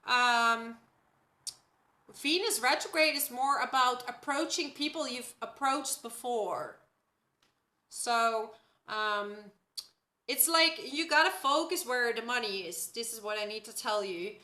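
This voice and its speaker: A woman's voice: high-pitched, pushed and bright, roomy sound. A woman from the Netherlands, a native Dutch speaker, hosting a casual live-stream astrology reading at home and often checking in with her viewers by asking "right?".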